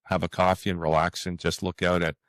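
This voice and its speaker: A sixty-year-old man with a Canadian accent, answering interview questions casually in a halting, thinking-aloud way. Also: sits low, level tone, a bit bright, dry recording, clean studio sound. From Toronto, Canada. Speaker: podcast guest, author.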